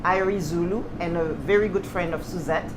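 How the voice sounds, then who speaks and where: A woman's voice: lowish voice, a little fast. A woman in her thirties with a Cameroonian accent, presenting to camera as a guest chef, casually and emphatically. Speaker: guest chef and restaurant owner. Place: restaurant kitchen, indoors. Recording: clearly noisy kitchen ambience.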